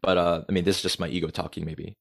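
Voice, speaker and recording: An energetic man, a podcast guest talking casually and swearing freely. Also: low-pitched, fast, vocal fry. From United States. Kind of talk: casual conversation.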